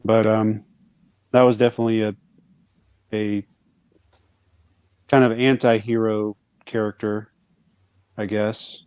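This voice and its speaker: A man chatting as a panelist, tentative and often pausing to think as he goes. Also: on the low side, level tone, darkish tone, smooth, no room sound, poor audio. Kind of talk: casual panel chat.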